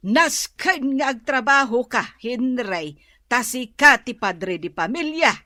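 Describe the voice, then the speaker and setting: A woman's voice: on the high side, animated, slow, sharp tone, no echo, clean studio sound, heavily acted. A woman acting an elderly mother in a radio drama, scolding and nagging. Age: older.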